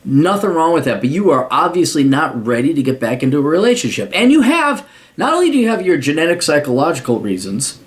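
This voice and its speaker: A man's voice: a little high, big pitch swings, fast. A man with an American accent hosting a podcast and reading out and answering a listener's letter, talking bluntly with plenty of swearing. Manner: blunt, swears often, mocking voices for asides. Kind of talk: casual profane advice monologue.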